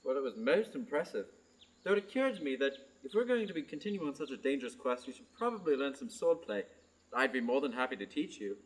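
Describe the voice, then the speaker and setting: A man's voice: mid-range voice, sing-song, fast, natural voice, poor audio. A young man playing a vain, pompous swashbuckling knight with grandiloquent speech in a radio play. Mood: gallant. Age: teenager to young adult.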